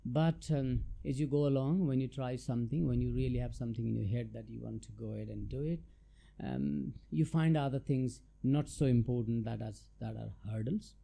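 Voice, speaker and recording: A man's voice: medium pitch, normal speed, vocal fry, mellow. A middle-aged man from Nepal, a tax adviser answering interview questions in a thoughtful, hesitant way with many fillers. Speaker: guest, chartered tax adviser.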